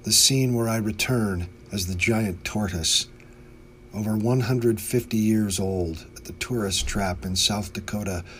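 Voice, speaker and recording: An American man, a poet, reading in a measured, literary way. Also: on the low side, a bit bright. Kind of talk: poetry reading. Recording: faint background noise.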